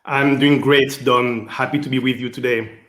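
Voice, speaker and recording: A man's voice: mid-range voice, fairly even intonation, normal speed, a bit bright. A man from Martinique with a French accent, answering interview questions as a guest executive, hesitant and full of fillers. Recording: roomy echo.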